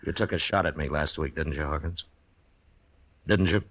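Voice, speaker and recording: Stern frontier lawman, extremely deep voice, American accent, weary, steady, vintage radio western. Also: moderate pace, full character voice. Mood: pressing. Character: stern, weary, steady US marshal of the Old West. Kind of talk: 1950s radio western acting. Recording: old 1950s radio recording.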